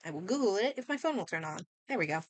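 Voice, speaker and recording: A woman streamer chatting casually and thinking aloud in an expressive way as she comments on a game. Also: medium pitch, fast, dry recording.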